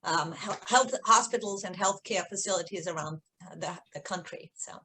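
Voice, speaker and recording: A woman's voice: lowish voice, moderate pace, bright, forceful tone. A middle-aged woman from Austria, a native German speaker with an Austrian accent, explaining things conversationally as an interview guest. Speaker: research executive, interview guest.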